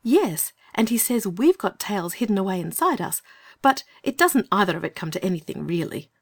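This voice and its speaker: A man voicing a sensible young girl in a storybook who reasons things out in a hushed way. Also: high-pitched, big pitch swings, normal speed, vocal fry, breathy edge, pitched above the performer's own voice, full character voice. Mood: thoughtful.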